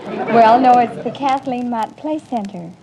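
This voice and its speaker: A woman's voice: a little high, measured pace, mellow, a little hiss or hum, rough recording. A woman answering politely and earnestly as a quiz show contestant on a vintage broadcast. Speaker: contestant, nursery school teacher. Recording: vintage broadcast, audience in background.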